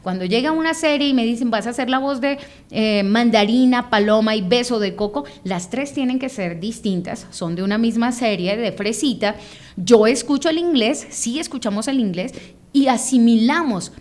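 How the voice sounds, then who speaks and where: Expressive Bogotá-accented voice actress. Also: female voice, a little high, moderate pace. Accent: Bogotá Colombian Spanish. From Bogotá, Colombia. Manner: expressive, demonstrates voices mid-sentence. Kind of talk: interview, explaining her craft.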